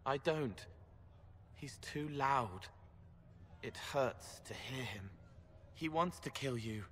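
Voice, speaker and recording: An uncanny, gentle youth in a fantasy game. He speaks in simple, halting phrases with troubled sincerity at a middling pitch. A long reverb and light noise surround the voice. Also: animated. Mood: troubled earnestness. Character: strange gentle young man, eerily earnest. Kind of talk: dramatic video game acting. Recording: long hall reverb, background ambience noise.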